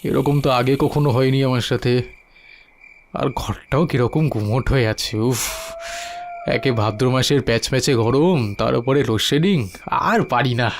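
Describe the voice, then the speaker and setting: A man playing an anxious, bewildered and weary young village son in a horror audio story, exclaiming and halting when alarmed. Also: mid-range voice, a little hiss or hum, close to an everyday voice. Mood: weary, uneasy. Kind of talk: dramatised horror story dialogue.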